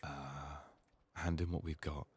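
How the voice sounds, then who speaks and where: A soft-spoken man murmuring low-key ASMR game commentary, thinking aloud in a slightly sick, strained voice. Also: little pitch movement, slow, breathy edge. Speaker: ASMR gaming YouTuber. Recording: faint background noise, clicking.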